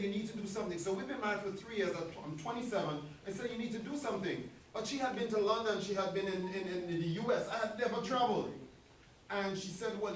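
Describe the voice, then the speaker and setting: A man in his forties from Trinidad and Tobago with a Trinidadian accent, a school principal addressing a community meeting in a friendly, joking way. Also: high-pitched. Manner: friendly, joking, talks to the room. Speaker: school principal, guest speaker. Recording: very echoey hall, distant mic, audible room noise.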